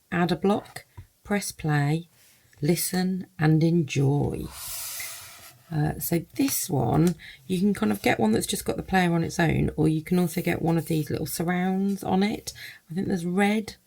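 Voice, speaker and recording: A woman's voice: low voice, normal speed, gravelly, mellow. A woman giving a casual first-look product review, thinking aloud in loose, hesitant phrases.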